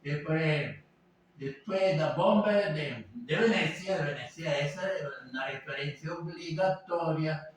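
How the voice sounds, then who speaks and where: A man teaching an informal class, talking haltingly with many fillers and tag questions. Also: a little high. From Venezuela. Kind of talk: informal lecture. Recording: echoey room, voice from a distance, faint background noise.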